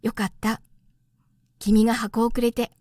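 A woman's voice: a little high, little pitch movement, measured pace, darkish tone, no echo, clean studio sound, lightly acted. A woman voicing a whimsical little boy prince in a storybook, curious, carefree and self-absorbed. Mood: pensive.

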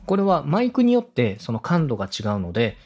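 A man's voice: a little high, huge pitch swings, brisk, a little mellow, faint music behind. A man hosting a podcast, giving polite, methodical, explanatory advice in a structured way. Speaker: podcast host, radio director coaching a co-host.

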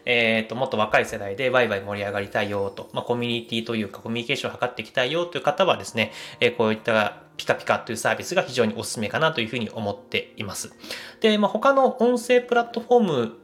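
Guy doing a casual solo podcast, talks quick, kinda halting and hedging as he explains stuff. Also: medium pitch, animated, a bit bright. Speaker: host of a solo audio show.

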